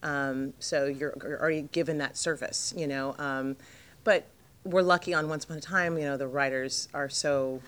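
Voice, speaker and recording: Low-voiced jet-lagged woman rambling. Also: steady tone, normal speed, a bit bright. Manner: rambling, lots of fillers and restarts, laughs often. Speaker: actress interviewed as guest. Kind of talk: casual interview chat.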